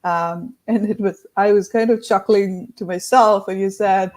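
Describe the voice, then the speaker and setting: A woman's voice: medium pitch, fairly even intonation, unhurried, a little mellow. A woman from India, hosting an interview podcast in an affirming way and using many fillers. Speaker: podcast host.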